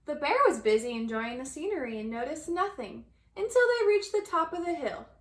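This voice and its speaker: A woman reading a children's story aloud in an expressive storytelling manner. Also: high voice, fairly quick. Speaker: storybook reader.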